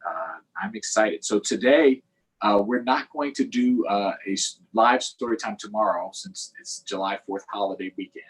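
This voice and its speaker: A man hosting a storytime for children, reading aloud and chatting directly to young viewers in an expressive way. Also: lowish voice, normal speed, husky, rough recording. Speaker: storytime host, councilmember. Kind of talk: children's read-aloud and live chat.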